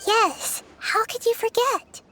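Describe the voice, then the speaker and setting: An actress voicing a kind, wise, childlike little goddess in a video game, speaking gently and thoughtfully. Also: female voice, extremely high pitch, slow pace, loud music behind the voice. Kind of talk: scripted video game dialogue.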